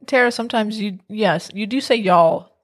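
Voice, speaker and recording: A woman co-hosting a podcast in casual chat, animated and full of fillers. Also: medium pitch, normal speed. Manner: animated, uses lots of fillers like "like". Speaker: podcast co-host.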